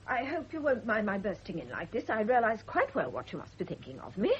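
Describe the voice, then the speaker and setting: An actress playing an officious, self-righteous, easily aggrieved nurse in a vintage radio drama. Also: female voice, medium pitch, huge pitch swings, a little fast. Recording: dull, hissy vintage radio recording.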